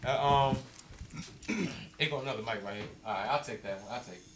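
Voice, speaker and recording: A man's voice: mid-range voice, brisk, noisy background. A man hosting a casual live show, with a big voice, peppering his talk with "man". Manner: loud, says "man" a lot, big voice. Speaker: show host.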